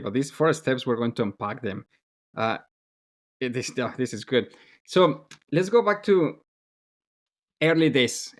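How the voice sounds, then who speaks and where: A man hosting a podcast interview, asking questions with enthusiastic, effusive praise. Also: mid-range voice. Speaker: podcast host.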